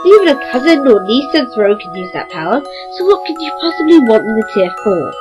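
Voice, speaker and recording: A woman's voice: a little high, very animated, brisk. A woman playing an anxious, regal young ruler of a twilight realm in a fantasy radio play, speaking in a formal, musing, theatrical way. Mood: indignant. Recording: dull lo-fi home recording. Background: music.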